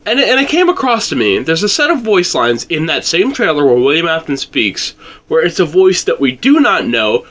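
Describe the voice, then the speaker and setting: A man speaking as a guest in a casual discussion, explaining his point with excitable emphasis. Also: a little high, moderate pace.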